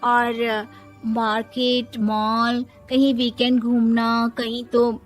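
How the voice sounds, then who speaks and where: A woman's voice: on the high side, little pitch movement, slow, faint music behind. A woman voicing a young, stubborn, pious daughter-in-law who talks back pertly in a Hindi cartoon story. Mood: questioning. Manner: pert, argumentative.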